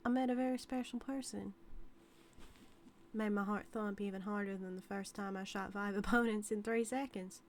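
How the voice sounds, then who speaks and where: An obsessive outlaw cowgirl, played by a woman, speaks at a middle pitch straight to her listener in an audio drama. She is teasing and dominant, with folksy Western phrasing and a sweetly menacing devotion. Some background noise sits behind her. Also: fairly even intonation, normal speed, lightly acted. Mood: smitten. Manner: drawling folksy Western talk, teasing, calls listener darling. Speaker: audio roleplay performer.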